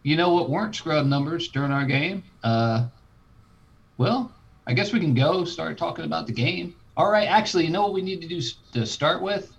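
A man's voice: a little high, animated, moderate pace, highs cut off. A man co-hosting a sports podcast, joking along and rambling through self-corrections. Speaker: podcast co-host. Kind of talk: casual sports chat.

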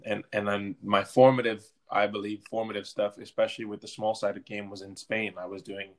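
A man from Belgium speaking informally in an interview as a soccer coach, often circling back and repeating words. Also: lowish voice. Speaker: interview guest, soccer coach and team captain. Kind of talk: conversational interview.

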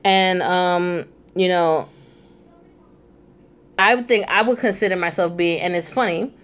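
A woman's voice: fairly low pitch, measured pace, fairly bright tone. A young woman hosting a casual chat, talking loosely with many asides and fillers. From New York. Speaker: host.

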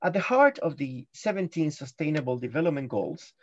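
A man's voice: fairly high pitch, expressive, a little fast, darkish tone, dry recording, muffled recording. A middle-aged man giving a guest interview on a podcast, explaining carefully with frequent ums and restarts. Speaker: guest, UN guided tours chief.

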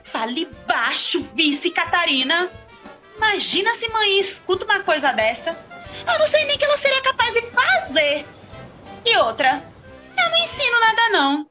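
A woman's voice: very high-pitched, big pitch swings, moderate pace, sharp tone, faint music behind, heavily acted. A woman voicing a fretful, feisty sister with a Northeastern Brazilian accent, melodramatic and scolding, in a comedic radio soap. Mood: defensive, scolding.

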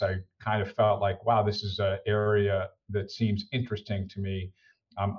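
Low-voiced middle-aged American man, halting delivery. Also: lo-fi sound. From United States. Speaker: interview guest, senior executive. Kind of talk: conversational podcast interview.

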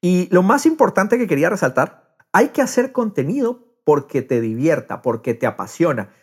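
A man with a slightly high voice hosts a podcast conversation. He talks casually and sometimes slips English words into what he says. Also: big pitch swings, normal speed, slightly rough. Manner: drops English words into speech. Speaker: podcast host.

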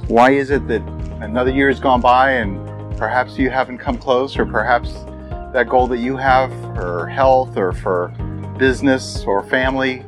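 A man hosting a podcast, speaking in an upbeat, motivational way straight to his listeners. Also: mid-range voice. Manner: upbeat, motivational, direct address. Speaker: podcast host. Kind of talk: podcast monologue and interview.

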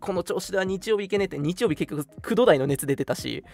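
Young male radio co-host, talkative and casual, fast delivery with lively swings in pitch, music behind. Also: creaky. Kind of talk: casual chat.

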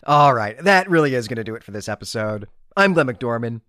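Man, medium pitch, very lively intonation, enthusiastic podcast host, emphatic conversational book review, dry close sound. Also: brisk, no echo.

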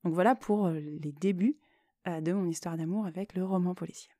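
A woman talking reflectively on her own as a podcast host, thoughtful and hesitant, often pausing mid-phrase. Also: lowish voice, mellow. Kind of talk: reflective solo podcast talk.

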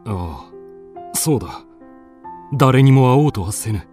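An actor playing a dignified, lovestruck duke in a radio drama, speaking in a lordly, formal, theatrical and earnest way. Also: male voice, medium pitch, expressive, slow pace, darkish tone, soft background music. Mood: somber.

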